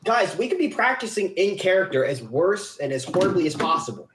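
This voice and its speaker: A man's voice: high voice, big pitch swings, a little fast, background noise. A man chatting casually in a rambling, self-deprecating way, like an amateur voice actor before a stream. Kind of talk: casual chat before a livestream. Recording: roomy, echoey home recording.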